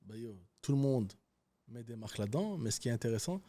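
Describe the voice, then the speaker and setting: A man with a French accent, a podcast guest telling business stories in an animated, slangy, casual way. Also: mid-range voice, fast talker. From Canada. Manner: animated storytelling, loose and slangy. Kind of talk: casual interview talk.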